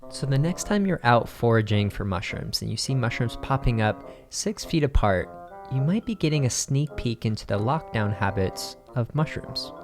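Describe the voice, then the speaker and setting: A man's voice: medium pitch, animated, normal speed, faint music behind. A man co-hosting a podcast, reading scripted narration in a playful, joking way. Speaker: podcast host.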